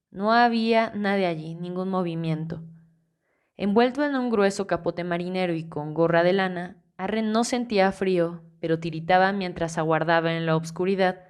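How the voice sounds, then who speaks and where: A woman narrating a fantasy audiobook in a measured, calm reading style. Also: medium pitch, clean tone. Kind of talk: scripted storybook narration.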